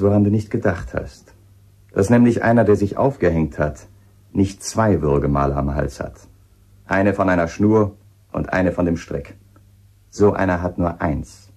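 An actor playing a stern, probing police inspector in a radio crime drama, questioning insistently and accusingly. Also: male voice, deep voice, moderate pace, darkish tone, breathy edge.

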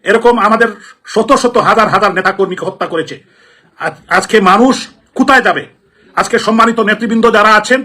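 A man with a high, sharp-edged voice and a Bangladeshi accent delivers an impassioned political address. He speaks forcefully and indignantly, hammering his points through repetition like an elected politician appealing to the public. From Sylhet, Bangladesh.

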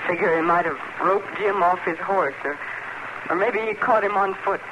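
An American actress playing a grim, determined frontier widow with a rural Western accent in a 1950s radio drama. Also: female voice, on the low side, normal speed, lo-fi sound, close to an everyday voice. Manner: plain-spoken, solemn. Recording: noisy 1950s radio recording.

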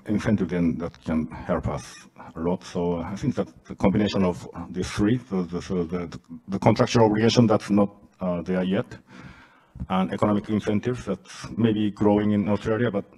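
Male panelist, low voice, halting English, handheld mic. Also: a little mellow, poor audio. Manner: halting, many fillers and restarts. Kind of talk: answering a panel question.

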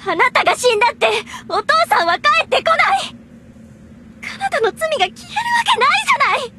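A bitter, wounded young girl in an anime game. The voice is extremely high and swoops emotionally with reproach, over clear background noise. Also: big pitch swings, quick pace, bright, forceful tone, full character voice. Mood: angry, bitter. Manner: emotional, accusing. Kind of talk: Japanese anime game voice acting.

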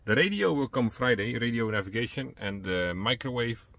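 A man with a Dutch accent, a native Dutch speaker, talking casually and a bit haltingly as he shows and explains things in a truck vlog. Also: medium pitch, lively intonation, creaky, a bit bright, no echo. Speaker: truck driver and vlogger. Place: inside a truck cab.

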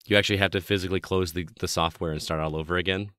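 Casting: deep-voiced male podcast host, a little hoarse, upbeat and chatty, dry close mic. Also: steady tone, racing, fairly bright tone, no echo. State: slightly hoarse, blown-out voice. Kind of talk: casual podcast chat.

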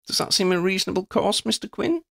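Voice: mid-range voice, expressive, fast talker, vocal fry, pitched above the performer's own voice. A man voicing an earnest, decisive woman who leads a band of questing companions in a storybook, polite and direct.

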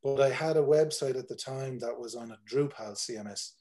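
A man's voice: medium pitch, brisk, a little mellow. A man in his forties with an Irish accent, a tour operator talking casually on a video call, rambling with many fillers and restarts. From Ireland. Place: home room, indoors.

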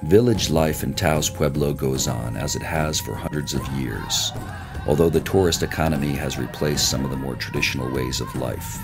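A man narrates a travel documentary in a steady, measured voice-over. His voice sits very low with a gravelly fry at the edges. Loud music plays under him.

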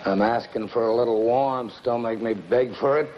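An actor playing a hard, possessive middle-aged killer on the run, terse and intense, in a 1950s television crime melodrama. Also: male voice, mid-range voice, moderate pace, close to an everyday voice. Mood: pleading, bitter. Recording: muffled, noisy 1950s television soundtrack.

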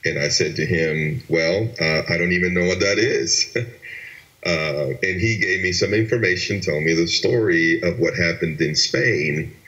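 A man's voice: sits low, a little slow, bright, forceful tone. A man in his forties from Venezuela, telling his life story earnestly as a guest in an interview. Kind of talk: personal testimony interview. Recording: dull lo-fi recording.

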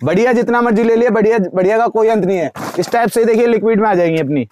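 Young shopkeeper guy reeling off rates super fast, highish voice, busy little shop. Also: a little mellow, a little hiss or hum. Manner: rapid price-listing patter, repeating rates per dozen and per piece. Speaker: wholesale shopkeeper. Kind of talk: sales pitch to camera. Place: crowded indoor cosmetics shop.

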